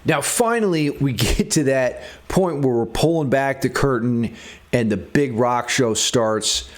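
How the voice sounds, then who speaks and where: A man's voice: medium pitch, measured pace. A man giving a casual, informal music theory lesson as a guitar instructor, thinking aloud as he demonstrates. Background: electric guitar playing.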